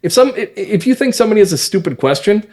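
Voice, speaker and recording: A man's voice: high voice, big pitch swings, quick pace, darkish tone. A man speaking as a podcast guest and playwright, chatting casually in an interview.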